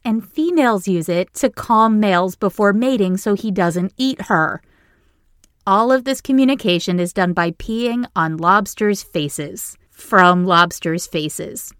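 A woman's voice: mid-range voice, no echo, studio quality. A woman from Maine with a Maine accent, hosting a podcast in scripted, playful narration with jokey asides. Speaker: podcast host.

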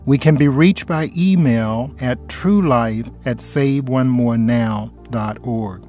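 A man co-hosting a Bible study who gives scripted teaching in an earnest, steady way. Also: mid-range voice, takes it slowly, faint music behind.

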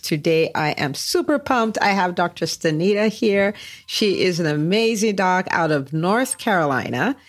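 A woman's voice: fairly low pitch, dry recording. Enthusiastic woman hosting a podcast, dry sound. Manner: enthusiastic, emphatic, addresses listeners directly. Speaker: podcast host. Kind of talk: upbeat promotional podcast hosting.

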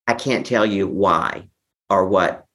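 A man giving a personal account as an interview guest, speaking haltingly, often repeating and restarting his phrases. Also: low-pitched, a bit bright. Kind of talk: personal recovery storytelling.

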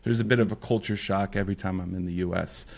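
A man raised in Mexico, a missionary pastor, talking in a relaxed, joking way in a casual podcast conversation. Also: sits low, fast talker, gravelly. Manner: relaxed, joking, repeats words.

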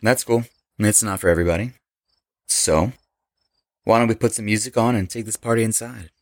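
A man's voice: on the low side, fairly even intonation, fairly quick, no echo, clean studio sound, natural voice. A man playing a laid-back rock musician with an interest in the occult in an audio horror drama. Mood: easygoing.

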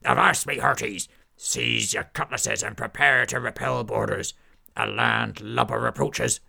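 A man voicing a boisterous pirate captain, loud and gruff with hearty pirate talk, in a storybook tale. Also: medium pitch, steady tone, a little slow, exaggerated voice. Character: boisterous male pirate captain, flower lover.

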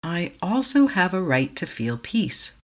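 A meditation guide leading a tapping session, speaking slowly and calmly in short affirmations for the listener to repeat. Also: medium pitch. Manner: calm, slow, repeating affirmations to be echoed back.

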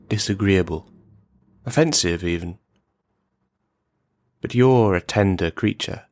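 A man playing a lonely, menacing misogynist in a radio play monologue, speaking in formal, mannered, halting phrases. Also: lowish voice, creaky, no room sound. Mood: tender, dismissive.

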